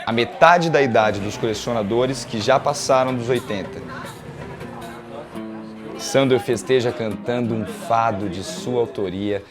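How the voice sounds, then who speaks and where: A man's voice: mid-range voice, unhurried, a crowd in the background. A Brazilian man reading scripted documentary narration. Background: music.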